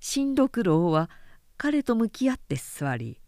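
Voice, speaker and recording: A woman narrating a literary period story as an audiobook, in a measured, dramatic storytelling manner. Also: fairly high pitch, very animated, mellow, dry recording, studio quality. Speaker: narrator, announcer.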